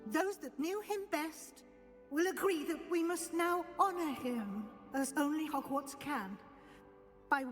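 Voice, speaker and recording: A high-voiced, dignified witch professor. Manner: measured, earnest, formal. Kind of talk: solemn dramatic speech, video game acting. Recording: music behind the voice.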